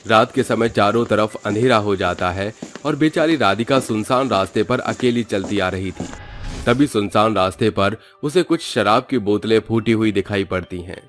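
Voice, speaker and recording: A man's voice: on the low side, normal speed, soft background music. A man narrating a scripted cartoon story as the storyteller.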